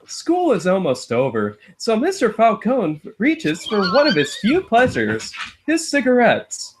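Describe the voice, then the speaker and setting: A man puts on a children's storybook narrator with a swooping, sing-song delivery. The pitch sits a little high and the reading takes its time. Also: huge pitch swings, a little slow, background noise, full character voice. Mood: cheerful storytelling. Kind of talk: read-aloud story narration.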